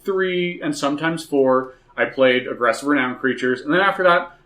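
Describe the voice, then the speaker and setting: A man chatting casually and excitedly about a card game, profane and emphatic, telling stories with gusto. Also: fairly high pitch, normal speed. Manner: profane, emphatic, animated storytelling. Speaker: podcast co-host.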